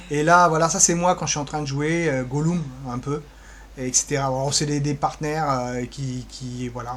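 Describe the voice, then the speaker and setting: A man with a fairly high voice gives a casual, improvised walkthrough of what is on his screen. He thinks aloud in a rambling, offhand way, with a flippant streak. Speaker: co-presenter giving a guided tour of social media pages.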